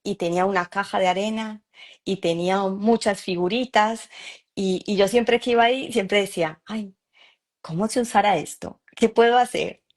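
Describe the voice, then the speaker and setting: A woman's voice: medium pitch, normal speed. A Colombian woman in her forties, a psychologist guest, telling her story in an easy, laughing way. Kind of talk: conversational interview.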